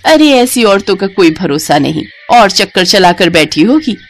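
A woman's voice: mid-range voice, very animated, normal speed. A woman voicing a spiteful gossiping neighbour woman in a cartoon story, sneering and judgmental. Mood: scornful.

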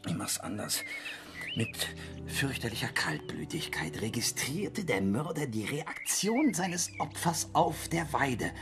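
An actor in a radio play playing a grumpy village constable who pompously dictates a crime novel, halting to correct himself. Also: male voice, mid-range voice, big pitch swings, music in the background. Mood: grumpy, dramatic.

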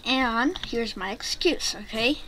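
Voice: mid-range voice, expressive, sharp tone. A female-sounding gaming YouTuber giving casual gameplay commentary in a rambling, hesitant way. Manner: rambling, hesitant, halting delivery. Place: home bedroom, voice over gameplay. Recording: noisy home mic with keyboard clicks.

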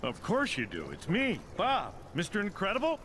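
An actor playing an earnest, hearty middle-aged superhero in an animated video game, friendly, eager and plain-spoken. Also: male voice, sits high, moderate pace, background noise. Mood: startled, concerned. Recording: loud music under dialogue. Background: loud music.

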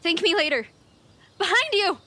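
A scrappy young female warrior played by a woman in a fantasy audio drama, brash and combative. Her high voice swings widely as she taunts, with faint noise behind her. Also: expressive, moderate pace, sharp tone, full character voice. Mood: urgent.

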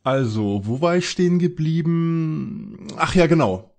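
A man's voice: on the high side, animated, unhurried, a little mellow. An actor playing a cocky, slightly dim boy detective, breezy and boastful, in a parody audio drama.

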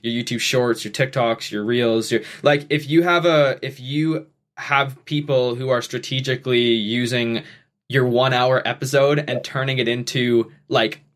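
A man in his twenties hosting a podcast from his bedroom, talking quickly with many restarts. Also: medium pitch, steady tone, fairly bright tone. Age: 20s. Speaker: podcast host. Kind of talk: casual chat. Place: bedroom at home. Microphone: studio mic on boom arm, headphones.

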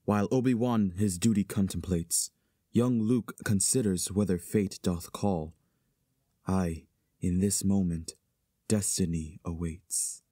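Solemn verse chorus played by a man, low, dark mellow tone, deliberate, Shakespearean drama. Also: steady tone, no echo, clean studio sound. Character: solemn male chorus narrating a stage play in verse.

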